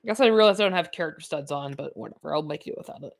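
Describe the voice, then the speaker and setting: A nineteen-year-old woman talks over her gameplay in a loose, rambling way, stacking fillers and breaking into little laughs. Her voice sits a bit low for a woman. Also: racing, vocal fry. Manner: chatty, filler-heavy, laughs often. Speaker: gaming YouTuber. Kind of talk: rambling let's-play commentary.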